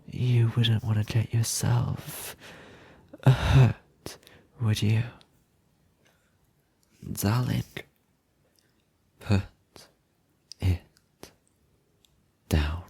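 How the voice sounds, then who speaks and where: Obsessive lovesick male kidnapper, played by a man for ASMR roleplay: very slow, gravelly, intimate, doting yet threatening. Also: medium pitch, no echo, heavily acted. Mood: menacing, controlled. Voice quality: husky, breathy, often whispering.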